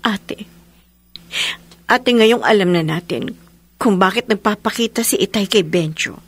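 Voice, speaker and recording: A calm, soft-spoken aunt. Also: female voice, mid-range voice, husky. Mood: earnest. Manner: soft, reassuring, measured. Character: calm understanding adult aunt. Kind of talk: radio horror drama acting.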